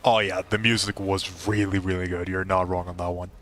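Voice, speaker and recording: A man's voice: low voice, level tone, brisk, fairly bright tone. A young man giving casual, playfully dramatic live commentary as he streams a video game. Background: game music.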